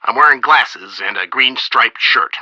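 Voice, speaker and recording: A man's voice: medium pitch, measured pace, bright, forceful tone, dry recording, highs cut off. A man voicing an edgy, awkward middle-aged police detective in a video game, abrupt and fumbling as he corrects himself. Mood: flustered.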